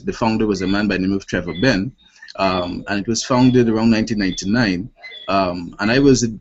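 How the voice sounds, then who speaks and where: A man in his late twenties with a Guyanese accent speaks formally and deliberately as a panelist in an online discussion, stressing points rhetorically. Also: lowish voice. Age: late 20s. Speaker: youth organisation panelist. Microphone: online video call. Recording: dull lo-fi web call, clear noise.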